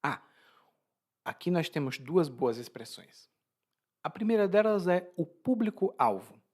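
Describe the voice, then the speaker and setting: Lively-voiced male teacher. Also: high voice, a little slow, breathy edge. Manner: explanatory, frequent restarts and laughs. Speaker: Portuguese teacher. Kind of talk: language lesson explaining expressions.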